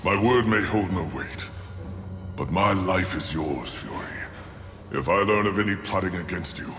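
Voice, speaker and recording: An actor playing a solemn, honourable warrior angel in a video game, speaking in a grave, formal manner. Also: male voice, deep voice, animated, normal speed. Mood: humbled, solemn. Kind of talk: video game cutscene acting. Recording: reverberant hall under clear music.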